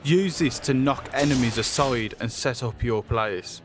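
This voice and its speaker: A man's voice: mid-range voice, a little slow. Male presenter, scripted showcase narration over music. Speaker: presenter of a game character showcase.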